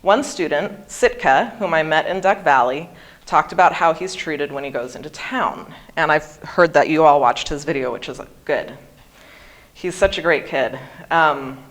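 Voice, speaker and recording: Low-voiced woman giving a careful, deliberate talk. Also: a bit bright. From Hawaii. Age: 40s. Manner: careful, over-articulated pronunciation. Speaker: guest speaker. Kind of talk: prepared seminar talk.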